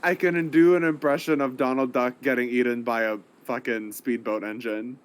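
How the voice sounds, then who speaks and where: A man's voice: medium pitch, steady tone, normal speed, very noisy. A man player in casual chat, blunt and jokey.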